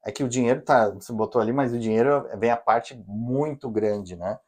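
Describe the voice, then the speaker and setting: A man's voice: mid-range voice, moderate pace, darkish tone. A Brazilian man co-hosting a podcast, explaining and advising listeners directly in a casual chat. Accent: Brazilian Portuguese. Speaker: podcast co-host. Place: living in Canada.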